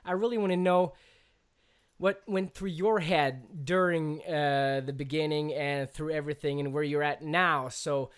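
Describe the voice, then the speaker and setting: A man hosting a podcast, asking questions in a halting way and stumbling over his words. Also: on the high side, steady tone, clear, resonant tone. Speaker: podcast host. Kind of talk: casual interview chat.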